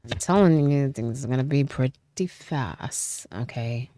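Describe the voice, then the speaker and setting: A woman giving a casual tarot reading, chatty, with frequent fillers, trailing pauses and light laughs, and sounding stuffy from a cold. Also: extremely low pitch, dark tone, dry recording. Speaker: tarot reader.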